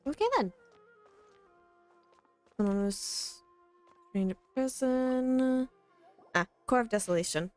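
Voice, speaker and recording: Slow-paced woman casually commenting on a game. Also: a little high, no room sound. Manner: unhurried, musing to self. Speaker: game streamer. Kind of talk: casual game commentary, reading and reacting aloud. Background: game music.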